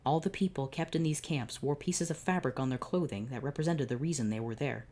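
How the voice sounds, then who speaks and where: A woman's voice: low-pitched, fairly quick, mellow. A woman hosting her channel, reading fan fiction aloud and breaking off for sarcastic, profane asides. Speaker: channel host.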